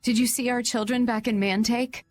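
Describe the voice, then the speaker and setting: A woman's voice: on the high side, fairly even intonation, normal speed, natural voice. A woman voicing a grateful, weary mother in a video game. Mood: anxious. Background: background music.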